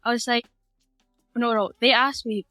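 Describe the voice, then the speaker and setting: A teenage woman from American Samoa, a high school student telling her story in a casual, rambling way with many restarts. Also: fairly even intonation, normal speed, bright, forceful tone, no room sound, studio quality, faint music behind. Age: teens. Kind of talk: casual interview chat.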